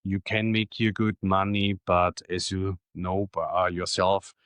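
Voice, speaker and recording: A middle-aged man from Austria, German his first language, with an Austrian German accent, answering interview questions in a plain, matter-of-fact way with many pauses. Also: low voice, fairly even intonation, fairly bright tone, clear, resonant tone, dry recording. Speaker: guest.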